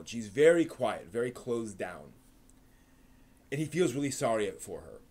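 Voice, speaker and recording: A man's voice: mid-range voice, darkish tone. Casual man hosting a live review stream.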